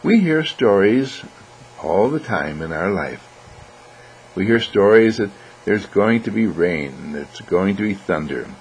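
A man voicing Mister Candle, a kindly talking candle who tells children bedtime stories in a gentle, reassuring, deliberate way. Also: deep voice, sing-song, slight background noise, exaggerated voice. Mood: soothing, instructive.

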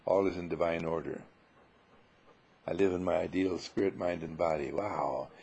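A man giving an informal spiritual sermon full of rhetorical questions, emphatic and dramatic, with a husky, gravelly voice. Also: low-pitched, fairly even intonation, moderate pace, darkish tone, lo-fi sound. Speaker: spiritual teacher.

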